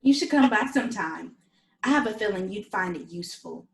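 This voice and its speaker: A woman's voice: medium pitch, lively intonation, fairly quick, gravelly. A woman reading an upbeat wellness-minded professional in her thirties in a comedy script table read. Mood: upbeat, persuasive. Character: Heather, upbeat thirty-six-year-old ex-lawyer turned wellness owner. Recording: echoey room.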